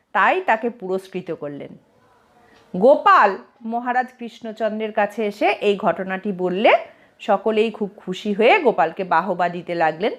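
A woman narrating a scripted comic story as an expressive storyteller. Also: on the high side.

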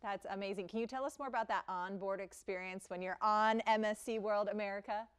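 A woman's voice: medium pitch, steady tone, fairly quick, clear, resonant tone. A woman co-hosting a morning television show in a light presenting style. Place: TV studio.

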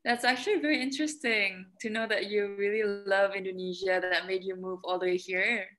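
A woman's voice: medium pitch, fairly even intonation, a little fast, a bit bright. A young woman moderating a live online talk over a laptop webcam, upbeat and reading announcements. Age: young adult. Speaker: moderator host. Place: indoor room. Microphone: laptop webcam on video call.

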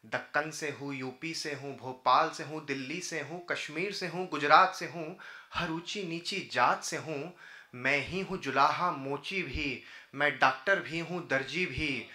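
A man's voice: fairly high pitch, a little slow, bright, forceful tone. A man recites poetry in a declamatory, rhythmic way at a podium microphone. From India. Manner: declamatory, rhythmic repeated phrasing. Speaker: poet. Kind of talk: live Urdu-Hindi poetry recitation. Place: indoor auditorium stage at a podium. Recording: roomy auditorium sound through PA microphone.

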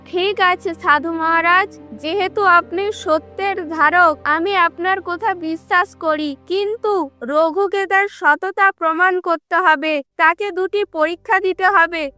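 A woman's voice: very high voice, fairly even intonation, slow pace, sharp tone, no echo, soft background music, exaggerated voice. A woman voicing a stern but fair female tree goddess in a cartoon, with solemn, commanding pronouncements.

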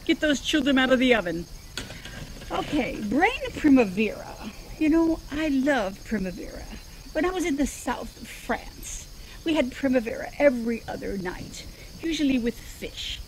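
A woman's voice: high voice, lively intonation, normal speed, a little hiss or hum, rough recording. An older woman playing a genteel elderly zombie woman hosting a cooking show outdoors, prim and chatty, wandering off into digressions. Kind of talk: improvised comedy sketch. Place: outdoors in a backyard.

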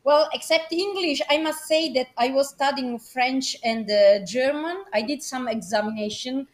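A woman with an Italian accent speaking English as a guest on a video call, halting and full of fillers. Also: sits high. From Italy. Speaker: guest pianist. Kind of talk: casual chat.

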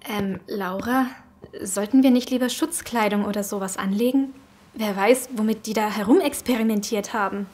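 A woman's voice: mid-range voice, moderate pace, a little mellow, slight background noise, natural voice. A woman in a German audio drama playing a cautious, uneasy apocalypse survivor, hesitant and questioning.

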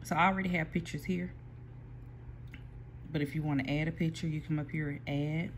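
A person casually explaining printer software step by step, with frequent pauses and restarts, recorded on a phone aimed at a computer screen. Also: medium pitch, fairly even intonation, slight background noise. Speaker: small print shop owner giving a software tutorial. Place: indoor workspace at a computer. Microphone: phone filming a computer screen.

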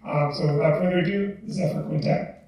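A man's voice: on the high side, a little fast, far from the mic, noisy background. A middle-aged man introducing a concert at a podium, chatty and a little rambling. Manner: chatty, slightly rambling, with fillers. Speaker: assistant dean introducing a concert. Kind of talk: public announcement speech. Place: large library concert hall. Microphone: podium mic from a distance. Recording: echoey hall, heard from afar.

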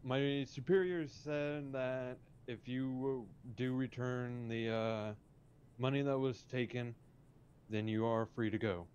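A man playing a polite, hesitant police officer in improvised game roleplay, speaking in a halting, matter-of-fact way. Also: fairly even intonation, darkish tone, close to an everyday voice. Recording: dull lo-fi mic with faint rumble.

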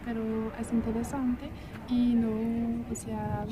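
A woman's voice: fairly high pitch, level tone, slow pace. A young French woman with a French accent chatting frankly in a casual interview. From France. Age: young adult. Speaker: interview guest. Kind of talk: casual chat. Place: outdoors on stone steps of an ancient theatre. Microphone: camera mic nearby. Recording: outdoor camera audio with street noise.

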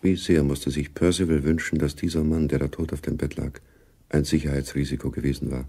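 A man narrating a radio drama as a grave, brooding storyteller, measured and sombre. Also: rumbling low, flat delivery, vocal fry, dark tone, breathy edge, exaggerated voice.

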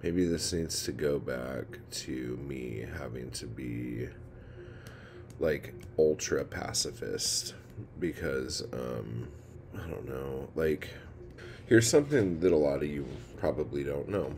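A man in his forties talks into a phone camera held low in a room at home, sounding tired and down. His voice is extremely deep and gravelly, and he speaks slowly. The recording carries a steady hiss of background noise. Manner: rambling, frustrated, swears freely. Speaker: poet vlogger. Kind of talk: casual confessional vlog. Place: indoor room with ceiling fan. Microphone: phone camera held low, close.

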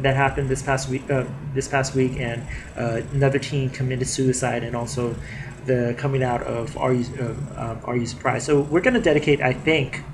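A man's voice: medium pitch, slight background noise. Chatty man, slightly nasal, webcam vlog. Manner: chatty, many fillers and restarts. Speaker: vlogger. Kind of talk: casual video diary. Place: living room indoors. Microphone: webcam at desk distance.

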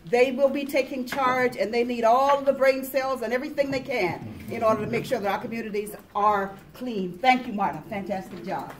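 A woman's voice: a little high, moderate pace, hardly any pauses. A woman moderating a public meeting, introducing and thanking panel speakers. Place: indoor community meeting room. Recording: faint background noise.

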